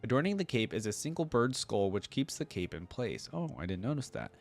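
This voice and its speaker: A man's voice: mid-range voice, sing-song, brisk, soft background music. A man hosting a reaction video, reading lore aloud in a casual way with chatty, musing asides. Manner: chatty, musing asides between read passages.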